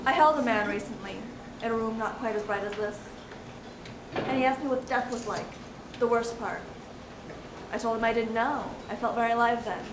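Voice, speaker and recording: A woman's voice: a little high, normal speed. A woman reciting a literary piece aloud. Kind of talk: recitation of poetry. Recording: distant mic, echoey room, clear noise.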